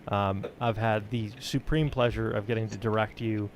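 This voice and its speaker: Guy hosting a laid back interview, kinda halting and self-deprecating, bit of rumble on the mic. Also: steady tone. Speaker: podcast host, film director. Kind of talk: casual interview chat.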